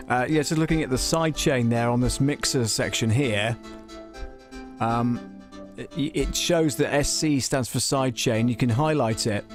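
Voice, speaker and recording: A man giving a casual live music software tutorial, talking informally and hesitantly with a self-deprecating manner. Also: mid-range voice. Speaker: host of a music production livestream.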